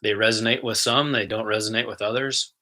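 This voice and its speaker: Low, bright-voiced spiritual host. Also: male voice, fairly even intonation. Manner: easygoing and chatty, soft and slow when guiding. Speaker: spiritual host and intuitive channeler. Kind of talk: casual livestream talk and guided relaxation.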